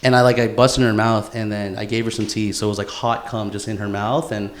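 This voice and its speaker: A man's voice: lowish voice, level tone, fast talker. A young man co-hosting a podcast with friends, chatting casually and crudely in slangy, bro-heavy talk. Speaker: podcast co-host. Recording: roomy, echoing indoor sound.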